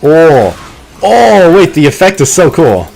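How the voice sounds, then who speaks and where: A man streaming a video game live, chatting casually and muttering to himself between bursts of excitement. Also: a little high, slow pace, vocal fry, soft background music, low-quality recording. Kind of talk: live gameplay commentary.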